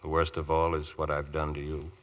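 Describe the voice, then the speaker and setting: An actor playing a weary, self-reproaching defence barrister who speaks haltingly in an old radio drama. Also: male voice, a bass voice, monotone. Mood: awkward, remorseful. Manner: halting, faltering with repeated words. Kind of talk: 1940s radio drama acting. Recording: dull lo-fi old radio recording.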